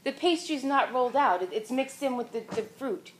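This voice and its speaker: A woman's voice: high voice, lively intonation, normal speed, slight background noise, poor audio. An actress playing an earnest, moralizing middle-aged mother who loves art and cooking, on a theater stage. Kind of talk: stage play acting.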